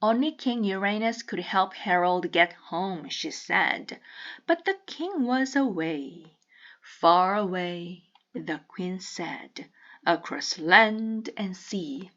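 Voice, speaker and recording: A woman reading a children's picture book aloud with deliberate, teacherly enunciation. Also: fairly low pitch, expressive, a bit bright, dull audio. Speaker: storybook reader.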